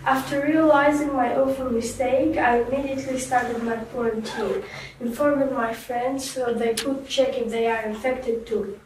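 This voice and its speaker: A woman playing a young guest in a staged talk show, recounting her story slowly and haltingly with restarts, recorded far off in an echoey room. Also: fairly high pitch, steady tone, darkish tone, a little hiss or hum, natural voice. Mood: earnest. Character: young woman survivor, remorseful and soft-spoken. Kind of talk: scripted role-play interview.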